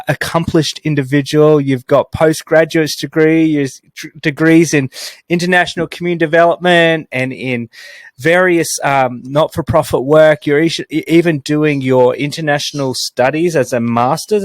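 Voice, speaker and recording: A man's voice: fairly high pitch, no room sound. Enthusiastic man hosting a podcast. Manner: enthusiastic, many restarts and fillers. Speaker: podcast host. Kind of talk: podcast interview. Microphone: headset.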